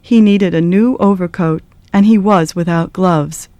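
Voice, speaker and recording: A woman narrating a classic story in an expressive, measured and dramatic scripted style. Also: lowish voice, no echo, muffled recording. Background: acoustic guitar music.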